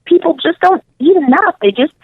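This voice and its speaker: A woman's voice: very high voice, lively intonation, normal speed. Lady guest chatting on a radio show, earnest, repeats words to stress them, dull lofi phone sound, dry no echo. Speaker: interview guest, nutrition coach. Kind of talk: casual radio interview chat.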